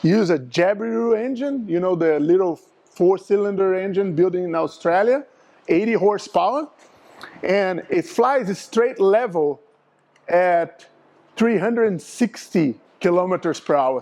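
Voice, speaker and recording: A man's voice: high-pitched, darkish tone. Brazilian-accented man presenting a talk. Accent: Brazilian Portuguese. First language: Portuguese. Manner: explanatory, asks audience for agreement. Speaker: aerospace engineer presenting to a flying club.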